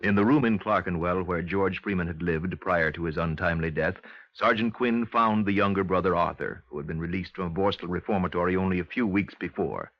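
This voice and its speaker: A man's voice: low-pitched, a little fast. A man playing a sober, factual narrator of a police case in a 1950s radio drama. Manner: formal, factual. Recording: muffled 1950s radio recording.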